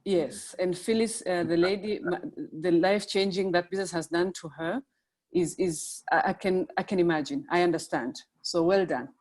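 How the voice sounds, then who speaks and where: Low-voiced woman from Tanzania. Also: fairly even intonation, normal speed, a little mellow. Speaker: chamber member commenting. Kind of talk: casual online comment. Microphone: video call.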